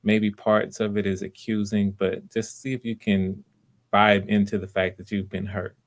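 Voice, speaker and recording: Low-voiced male audition host. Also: level tone, vocal fry. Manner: encouraging, halting, repeats phrases. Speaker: host of live voice auditions, director giving notes. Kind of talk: casual live coaching over a stream.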